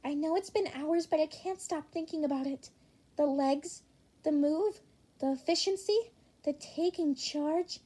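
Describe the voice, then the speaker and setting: A woman's voice: sits high, a little slow, dark tone, pitched above the performer's own voice. A woman voicing a dreamy, smitten teenage girl in an audiobook, sweet and gushing.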